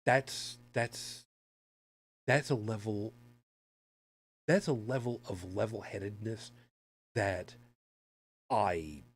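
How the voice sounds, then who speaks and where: Halting, sardonic American man podcasting. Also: fairly low pitch, breathy edge. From United States. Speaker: podcast co-host. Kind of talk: casual opinionated news commentary.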